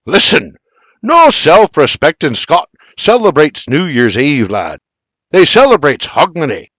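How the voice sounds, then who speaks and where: A man's voice: mid-range voice, very animated, slow pace, no echo, exaggerated voice. A man voicing a stubborn, cheerful old Scottish man in a Scottish accent who lectures with plenty of Scots dialect. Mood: insistent. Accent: Scottish, as played.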